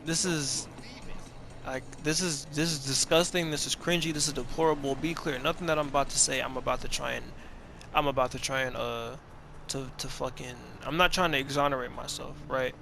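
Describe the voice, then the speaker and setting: A man's voice: medium pitch, steady tone, a little fast, gravelly, noisy background. A man of about twenty talking casually and profanely over gameplay, rambling with many restarts and slang. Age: 20s. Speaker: gaming video creator.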